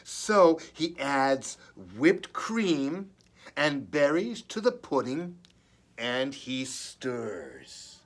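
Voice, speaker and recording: A man reading aloud at a children's story time as a librarian, expressive and deliberate, with playful chatty asides. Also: mid-range voice.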